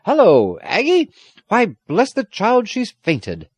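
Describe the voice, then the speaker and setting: A hearty old country squire from a storybook, performed by a man, doting and excitable. He speaks in a raised, very animated voice with a dry, close sound. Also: fairly high pitch, normal speed, no room sound, muffled recording, voice pushed up. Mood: hearty, bustling. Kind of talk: audiobook character acting.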